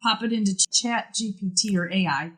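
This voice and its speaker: A woman's voice: mid-range voice, normal speed. A woman in a casual group podcast chat, inspirational and fond of repeated rhetorical questions. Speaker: podcast guest.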